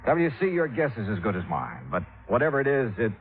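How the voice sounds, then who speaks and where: An actor playing a calm, shrewd male investigator, questioning and narrating in measured old radio detective style. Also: medium pitch. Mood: businesslike. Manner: measured, matter-of-fact, probing questions. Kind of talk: 1950s-60s radio detective drama acting, first-person narration. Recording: tinny old radio recording, music behind.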